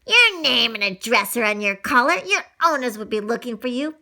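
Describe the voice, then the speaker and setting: A woman's voice: a little high, very animated, normal speed, sharp tone, full character voice. A woman voicing a kind male dog in a dog pound, speaking in a soothing, reassuring way.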